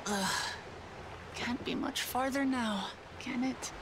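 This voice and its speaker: A woman's voice: a little high, slow, noisy background. An actress playing a plain-spoken young woman traveller in a video game, weary of the sea and informal in manner. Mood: weary, friendly. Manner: casual, informal.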